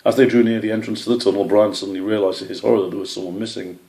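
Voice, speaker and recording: Low, creaky-voiced man reading a story. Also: steady tone, racing, mellow, echoey room. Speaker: author or story reader. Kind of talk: scripted story narration.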